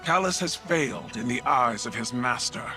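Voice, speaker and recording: An actor playing a proud, honor-bound alien military leader in a game, speaking gravely and formally. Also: male voice, medium pitch, lively intonation, moderate pace, bright, forceful tone, soft background music. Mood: solemn, defiant. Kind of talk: video game cutscene acting.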